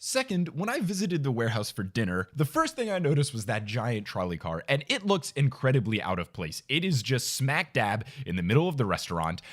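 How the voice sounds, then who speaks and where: A man's voice: mid-range voice, big pitch swings, brisk, few pauses, a bit bright. An American man giving a comic anecdotal monologue with wry, emphatic delivery. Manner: wry, emphatic, mock-indignant. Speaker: guest contributor.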